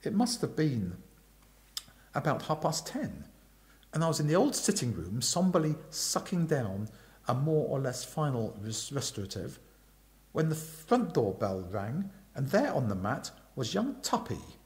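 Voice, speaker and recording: A man reading a comic story aloud in an expressive narrating style. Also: mid-range voice, a little slow. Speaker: story reader.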